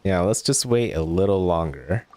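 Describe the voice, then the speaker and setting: A man's voice: deep voice, expressive, moderate pace, darkish tone, dry recording. A man giving casual commentary as a streamer playing a video game. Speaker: let's player, streamer.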